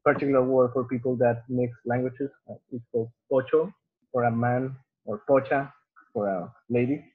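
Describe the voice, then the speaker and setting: Man from Mexico, native Spanish speaker, relaxed teasing guest; mid pitch, tinny phone-like call audio. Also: moderate pace, slightly rough. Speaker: webinar guest. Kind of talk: casual webinar talk. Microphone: video call.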